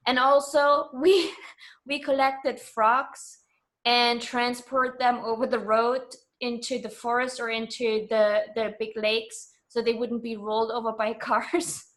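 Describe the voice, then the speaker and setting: Higher-voiced woman from Germany, chatting. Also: flat delivery, unhurried, fairly bright tone. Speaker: interview guest. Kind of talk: casual conversational interview.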